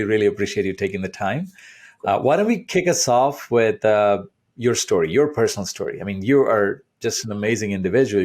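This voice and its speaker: A man's voice: lowish voice, a little mellow. A man hosting an interview podcast in a casual, conversational way, effusive and encouraging toward his guest.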